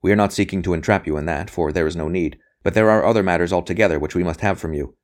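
A man's voice: low-pitched, quick pace, close to an everyday voice. A man voicing a relentless official interrogator in an audiobook, insistent and coolly impatient, pressing with clipped questions.

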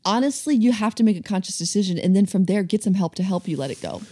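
A woman in her thirties with a mid-pitched voice co-hosts a casual faith podcast. She talks earnestly and rambles, restarting her sentences as she thinks aloud. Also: animated, quick pace, mellow, husky. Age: 30s. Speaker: podcast co-host.

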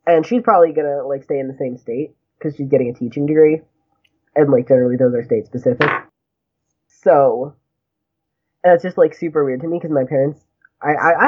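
A young man with a high voice, talking in a chatty, rambling way with lots of "like" and small laughs. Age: young adult. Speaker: guest, graduate student. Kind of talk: casual conversation. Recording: tinny phone-like husky sound.

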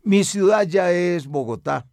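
An older man from Colombia with a Colombian accent answers interview questions reflectively, in measured, articulate and rather formal language. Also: sits high, animated, darkish tone, dry recording. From Medellín, Colombia. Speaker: cultural radio broadcaster and music researcher.

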